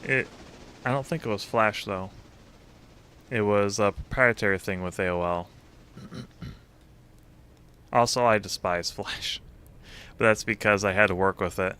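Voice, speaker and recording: A man with a low but very bright, forward voice, hosting a relaxed gaming stream over a voice call. He tells stories with lively enthusiasm and breaks into laughter often. His tone is casual and friendly. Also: unhurried, pauses often, clear, resonant tone. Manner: animated storytelling, laughs often. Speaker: streamer and host.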